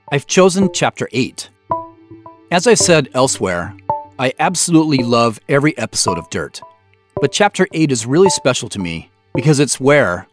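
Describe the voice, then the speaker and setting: A man with a mid-pitched, very animated delivery hosts a behind-the-scenes commentary. He explains things reflectively, with music clearly playing behind him. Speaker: podcast host, writer and producer. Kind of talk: director's commentary narration.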